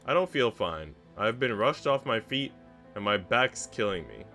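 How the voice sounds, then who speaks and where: A man's voice: on the low side, lively intonation, a little slow, bright, forceful tone, exaggerated voice. A man voicing a haughty woman, a senior housemaid who bullies with false sweetness in a theatrical, condescending way. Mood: mock-wounded. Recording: music behind the voice.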